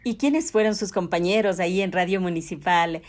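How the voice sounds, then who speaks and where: A woman's voice: fairly low pitch, normal speed. A woman hosting an interview, addressing the guest courteously and formally. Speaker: interviewer, host. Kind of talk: polite radio-style interview.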